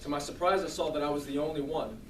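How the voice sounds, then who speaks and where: A man giving a humorous storytelling speech to an audience in a theatrical, deliberate comic manner. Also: on the high side, steady tone. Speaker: speech contest contestant. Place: room with an audience. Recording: roomy, distant mic, clearly noisy.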